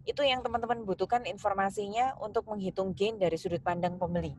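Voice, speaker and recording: Woman, Indonesian accent, mid-range pitch, tutorial lecturer, instructional step-by-step delivery, speaks directly to students. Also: fairly even intonation, fast talker, darkish tone, slight background noise. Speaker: accounting lecturer.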